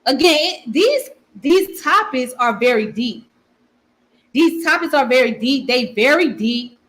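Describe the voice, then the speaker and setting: Southern woman, higher voice, talking to viewers. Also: animated, measured pace, fairly bright tone. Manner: repeats phrases, talks to viewers and reads comments. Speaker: channel host. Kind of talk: casual livestream commentary.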